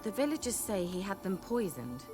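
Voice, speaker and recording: An actress playing a vain, sharp-tongued sorceress in a video game, speaking in a haughty, wry, coaxing manner. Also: female voice, medium pitch, big pitch swings, normal speed, close to an everyday voice. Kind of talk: video game cutscene acting. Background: game soundtrack music.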